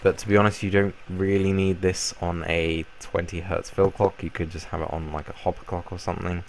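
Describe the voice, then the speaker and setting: A young man with a croaky voice from a cold, casually explaining a game build in a rambling way. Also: low voice, fairly quick, a little hiss or hum. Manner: relaxed, rambling explanation with fillers. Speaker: Minecraft YouTuber. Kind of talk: casual gameplay tutorial.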